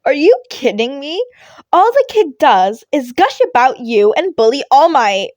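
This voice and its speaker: A reader plays a teasing woman teacher in an audio story. She is brash, amused and emphatic, pitched high, with a dry sound. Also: very animated, unhurried, darkish tone, no echo. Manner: playful, emphatic. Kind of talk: podfic character voice.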